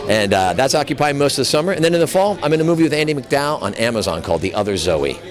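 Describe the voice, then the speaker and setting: Fast-talking joking man in a crowd. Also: medium pitch, very animated. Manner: joking, quick, easygoing. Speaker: actor guest. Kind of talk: casual carpet interview. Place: outdoor premiere carpet at a venue. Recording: busy crowd behind.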